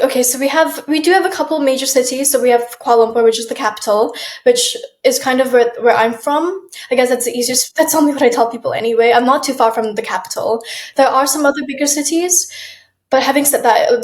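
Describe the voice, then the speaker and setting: A young woman from Malaysia talks casually as an interview guest, her voice a little high. She restarts her sentences often and laughs softly between them. The sound is roomy, with an echo around her. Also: steady tone, fairly quick. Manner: chatty, with frequent restarts and soft laughs. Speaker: guest, aspiring model.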